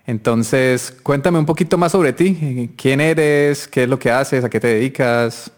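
A man hosting a music production podcast, asking questions in a relaxed, friendly, chatty way. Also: mid-range voice, a little slow. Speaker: podcast host. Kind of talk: casual interview.

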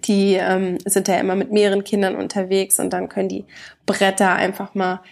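A woman's voice: medium pitch, monotone, normal speed, vocal fry. A woman in her thirties hosting a casual personal podcast from her bedroom at home, chatty and rambling, her nose stuffy from a cold. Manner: chatty and rambling, with many restarts. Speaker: podcast host. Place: home bedroom, sitting on a bed in the evening.

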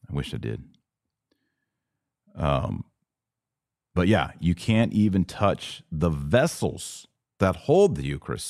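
Low voiced guy doing a religious podcast, chatty but teachy, talks right to listeners, really dry sound. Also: a little mellow, no echo. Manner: conversational, didactic, addresses listeners directly. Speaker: podcast host. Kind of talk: casual religious talk to online audience.

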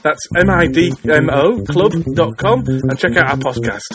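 A man's voice: a little high, expressive, moderate pace. A man presenting a scripted comic podcast promo in a mock-serious manner. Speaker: podcast host. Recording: clear music bed behind.

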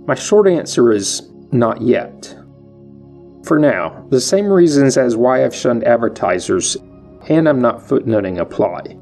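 A man hosting his own history podcast, reading a measured, matter-of-fact scripted monologue. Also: medium pitch, animated, darkish tone, soft background music. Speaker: podcast host.

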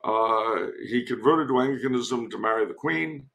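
A man's voice: medium pitch, normal speed, gravelly, a bit bright. A man giving casual historical commentary as a podcast guest, digressive and given to wry asides.

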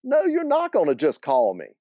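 A man's voice: on the high side, wildly swooping pitch, a little slow, dark tone, dry recording, studio quality, voice pushed up, heavily acted. A man voicing a spirited, easily exasperated small-town Southern young woman in a told story.

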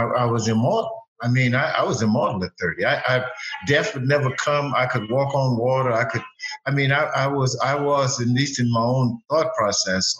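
A man's voice: mid-range voice, a bit bright, dull audio, a little hiss or hum. A man in his seventies on a video call, telling his story animatedly with repetitions and exclamations. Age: 70s. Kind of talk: casual group video chat. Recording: echoey room.

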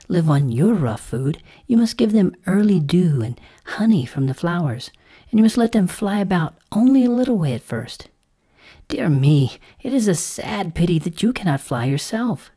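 A woman's voice: fairly low pitch, mellow, pitched above the performer's own voice. A woman voicing a frail, dying mother butterfly in a storybook, plaintive and sighing as she pleads. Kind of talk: storybook character voice.

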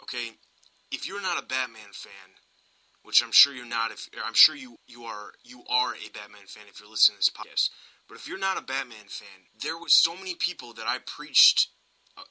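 A man with a sharp, very bright voice at an average pitch. He hosts a podcast and talks film casually, pressing his opinions with emphasis. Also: expressive, a little fast, poor audio. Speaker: podcast host.

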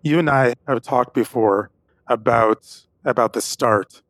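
A man's voice: medium pitch, measured pace, fairly bright tone, dry recording. A man hosting a podcast, introducing and interviewing a guest. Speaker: podcast host.

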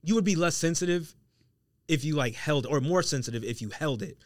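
An American man chats as a podcast co-host in a voice a little higher than average. He speaks casually and slangily, checking in with the listener as he explains. The recording is dry. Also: animated, fairly quick, no room sound. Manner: slangy, checks understanding with tag phrases.

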